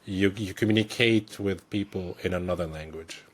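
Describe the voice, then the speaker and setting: A man with a low, slightly husky voice speaks as a podcast guest. He explains things thoughtfully and circles back with fillers and restarts as he works out his points. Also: moderate pace. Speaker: guest, technical director. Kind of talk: conversational explanation.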